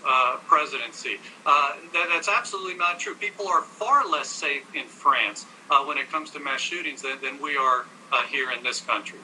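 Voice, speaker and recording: Male American advocate for a TV debate, fairly high and very bright voice, argumentative, faint background noise. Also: poor audio. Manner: assertive, argumentative, corrects the host. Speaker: gun-rights advocate, interview guest. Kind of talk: televised debate, arguing with statistics.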